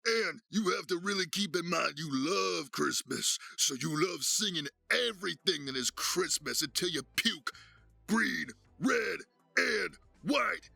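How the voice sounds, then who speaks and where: A man voicing a brash biker Santa Claus for a game, with a gravelly, strained belly voice and the swagger of an announcer working a crowd. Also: sits high, animated, unhurried, pushed and bright, soft background music, heavily acted. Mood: slick, jolly swagger. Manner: slick, in-your-face salesman swagger. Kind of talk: shouted announcer-style game character voice.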